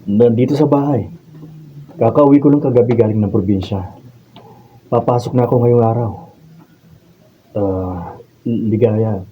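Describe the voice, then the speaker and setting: An actor playing an ardent, defiant lover in a melodramatic Filipino radio drama, earnest and pleading. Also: male voice, mid-range voice, a little slow, pauses often, narrow, tinny audio, lightly acted. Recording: faint background noise.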